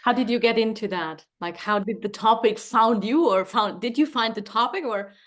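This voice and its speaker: A woman's voice: on the high side, normal speed, a bit bright. A woman, the host and a sex therapist, greeting her viewers and asking loose, rambling questions in a casual interview.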